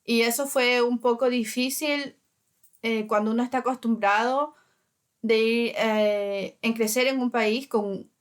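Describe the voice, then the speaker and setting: A woman's voice: a little high, steady tone, measured pace. A woman from Ecuador in her thirties, speaking hesitantly with many fillers as an interview guest. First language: Spanish. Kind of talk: casual interview.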